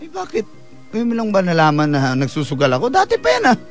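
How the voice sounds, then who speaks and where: A man's voice: high-pitched, huge pitch swings, fast. A man acting in a Filipino radio drama as a hot-tempered, abusive gambling husband who badgers and taunts aggressively. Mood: defensive, belligerent. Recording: loud music behind the voice.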